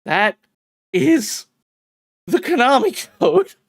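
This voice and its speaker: An expressive, excitable streamer reading a visual novel aloud in a dramatic way, with casual sweary reactions. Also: high voice, drawn-out words, vocal fry, dry recording, studio quality.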